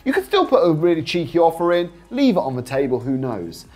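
A British man speaks in a highly varied, animated pitch with a slightly rough-edged voice. He coaches viewers informally, explaining step by step in a persuasive way and checking in with rhetorical questions. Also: a little fast. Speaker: host, property investing coach. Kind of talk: informal instructional talk to viewers.

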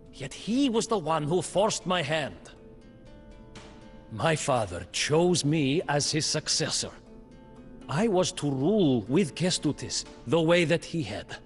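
An actor narrating a game campaign as a proud, ambitious young male prince, in slow, measured storybook narration. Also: on the high side. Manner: slow, measured, brooding delivery. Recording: faint music behind.

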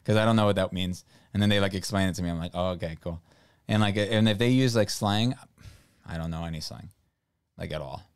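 A man's voice: sits low, brisk, smooth, no room sound. A man in his thirties from Brazil, chatting casually and rambling on a home livestream into a close studio mic. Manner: relaxed, rambling, filler-heavy talk. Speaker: stream host. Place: home studio room, indoors. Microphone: studio mic on boom arm, close.

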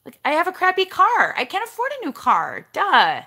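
Woman, forties, raspy, bright tone, animated, casual vlog chat, close camera, bedroom. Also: very high-pitched, moderate pace. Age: 40s. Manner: animated, ranty. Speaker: vlogger. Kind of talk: casual chat answering viewer comments. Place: bedroom at home, indoors. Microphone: camera close in front.

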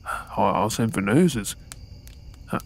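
Slow-paced Australian undercover soldier, acted by a man. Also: fairly low pitch, expressive, heavily acted. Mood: startled, concerned. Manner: brooding asides, formally deferential address. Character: resentful undercover soldier posing as princess's servant. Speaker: audio role-play performer. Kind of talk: scripted ASMR audio role-play acting.

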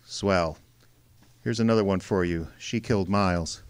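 An actor playing a stern, cynical private detective in an old-time radio drama, terse and deliberate. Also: male voice, sits low, clean tone, natural voice. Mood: weary, wry. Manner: terse, tough-talking, deliberate. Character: hard-boiled male private detective, stern, cynical, wounded but resolute.

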